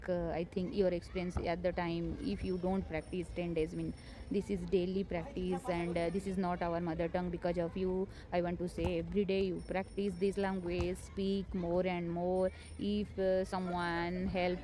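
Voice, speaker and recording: Hesitant non-native English woman, lowish voice. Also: a little mellow. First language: not English. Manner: hesitant, many fillers and restarts, encouraging. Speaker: homemaker sharing English-learning tips. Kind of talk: unscripted motivational talk to camera. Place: home.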